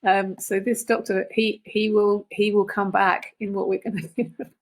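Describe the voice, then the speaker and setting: A middle-aged or older woman talking casually and reflectively in halting phrases, as a guest reader. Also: medium pitch, flat delivery. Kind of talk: casual conversational discussion.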